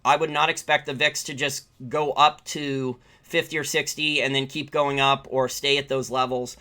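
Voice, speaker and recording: A man's voice: mid-range voice, little pitch movement, normal speed, sharp tone. An American man giving informal, opinionated, off-the-cuff financial market commentary as a channel host, repeating points for emphasis. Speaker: finance channel host answering live viewer questions.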